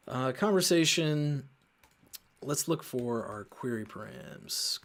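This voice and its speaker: Slow-paced man thinking aloud while coding. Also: medium pitch. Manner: halting, muttering to himself while working. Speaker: host of a coding show. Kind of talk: casual live coding walkthrough, thinking aloud.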